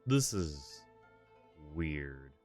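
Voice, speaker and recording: A man's voice: rumbling low, very animated, very slow, darkish tone, faint music behind. A man streaming a video game, making rambling, chuckling asides to the characters. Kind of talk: casual live game commentary.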